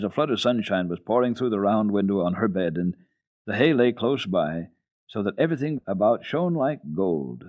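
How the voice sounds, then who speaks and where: A man reading children's literature as a radio storyteller, in an expressive, dramatic style. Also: deep voice, vocal fry, slightly rough, phone-quality sound. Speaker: storyteller, radio program host.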